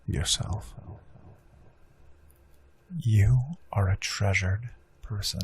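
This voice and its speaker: A man leading a guided hypnosis meditation, speaking slow soothing affirmations that drift into soft whispers. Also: fairly low pitch, fairly even intonation, vocal fry, breathy edge.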